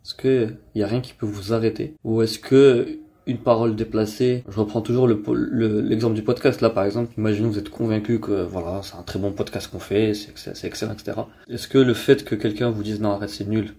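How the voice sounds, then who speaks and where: Fast young man asking questions. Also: fairly low pitch, steady tone, mellow. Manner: quick, probing questions. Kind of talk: casual chat, asking questions.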